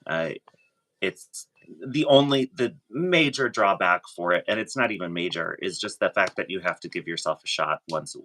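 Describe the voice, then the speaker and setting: A man chatting casually as a guest, sharing his own experience in a halting way, often rephrasing himself. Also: low voice, lively intonation, sharp tone. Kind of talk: casual chat.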